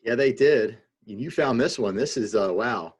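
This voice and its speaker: A man co-hosting a video-call chat, telling a story in a joking, laid-back way. His voice is husky and a little breathy. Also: mid-range voice, normal speed. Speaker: co-host.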